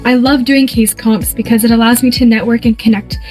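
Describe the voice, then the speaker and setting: A woman's voice: fairly high pitch, fairly even intonation, brisk, darkish tone. A young woman, a fourth-year student, giving an earnest self-introduction. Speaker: student competitor. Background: faint music.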